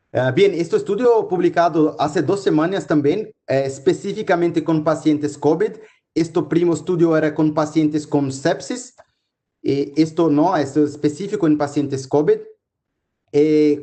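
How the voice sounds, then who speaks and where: Guy w/ giving a medical lecture, kinda high but mellow voice, lots of stops and restarts. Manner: halting, many restarts and fillers while explaining slides. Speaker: physician lecturer.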